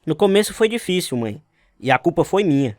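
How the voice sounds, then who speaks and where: A man's voice: on the high side, big pitch swings, fairly quick, darkish tone, dry recording, studio quality. An actor playing an earnest, devout young Navy man telling his life story in a radio drama. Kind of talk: radio drama narration with acted dialogue.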